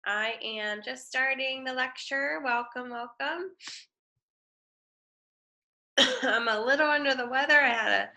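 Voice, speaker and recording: Woman, design instructor, head cold, nasal, bright voice, casual online lecture. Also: high-pitched, measured pace, vocal fry, long pauses. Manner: casual, chatty, with frequent fillers and self-corrections. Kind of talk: live online lecture with screen demo.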